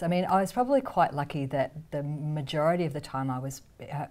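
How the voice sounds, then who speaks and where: Low-pitched, enthusiastic woman panelist. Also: dark tone. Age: middle-aged. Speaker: academic panelist. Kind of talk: conversational panel discussion. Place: indoor studio panel set.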